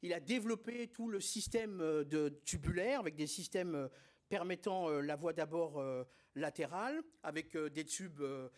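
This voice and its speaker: A man with a notably high voice delivers a conference lecture on surgery. He speaks didactically and with emphasis, stumbling now and then over fillers and restarts. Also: normal speed. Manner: didactic, emphatic, frequent hesitations and filler words. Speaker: spine surgeon presenting at a congress.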